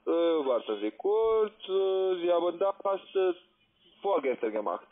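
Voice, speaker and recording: A man's voice: high voice, sing-song, measured pace. A man with a foreign accent, a non-native speaker, making a sales call as a call centre agent. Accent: foreign accent in German. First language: not German. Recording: tinny narrowband phone line.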